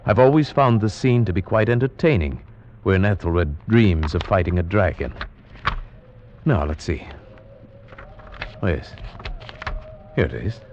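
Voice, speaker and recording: An actor playing an earnest, nervous gentleman who narrates in a solemn, measured manner in an old-time radio drama. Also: sits low, lively intonation, noisy background. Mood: calm. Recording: muffled old radio recording with music.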